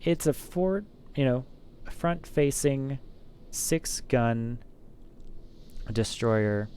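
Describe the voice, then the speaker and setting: A man's voice: mid-range voice, animated, drawn-out words, mellow, clean tone. A man streaming a naval battle game, talking casually and thinking aloud with many fillers and restarts. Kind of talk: casual gameplay commentary.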